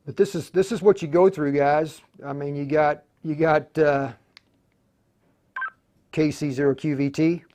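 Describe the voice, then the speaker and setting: A man with a slightly high voice hosts a live hands-on tutorial. He talks in an unscripted, halting way, often muttering to himself as he works through each step. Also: steady tone, mellow. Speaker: host of a live radio tutorial.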